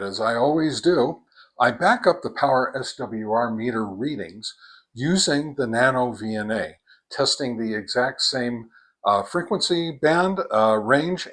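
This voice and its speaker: A man's voice: fairly low pitch, fairly bright tone. Plainspoken man reviewing radio gear. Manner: plainspoken, many "uh"s and "all right?" tags.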